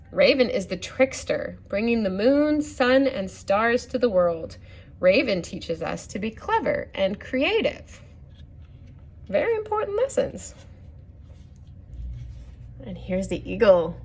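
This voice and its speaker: Female story time reader for young children, medium pitch, gently teaching as she reads and chats to listeners. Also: vocal fry, breathy edge. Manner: gently instructive, puts questions to the listeners. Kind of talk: reading a picture book aloud with asides to children.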